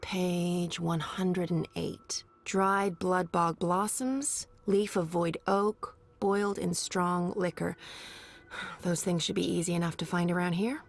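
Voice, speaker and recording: An actress playing a brisk young woman who mutters to herself while gathering potion ingredients. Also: lowish voice, fairly even intonation, creaky, exaggerated voice. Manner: muttering to herself as she works.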